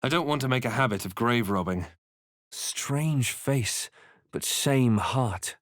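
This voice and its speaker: A man playing a composed, wry adventurer hero in a video game, telling events in a measured, literary way. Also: medium pitch, animated, no room sound, studio quality. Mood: hushed, reflective. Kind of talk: scripted video game narration and battle lines.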